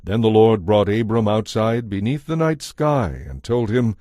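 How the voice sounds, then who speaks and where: A man narrating scripture from a script as a solemn, measured storyteller. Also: lowish voice, lively intonation, dark tone, no room sound, studio quality.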